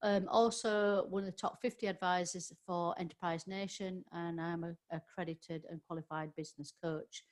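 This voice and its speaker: A woman's voice: on the low side, fairly even intonation, normal speed, hardly any pauses. A middle-aged woman with a Yorkshire accent, giving a live online training webinar in a chatty way, full of ums and restarts.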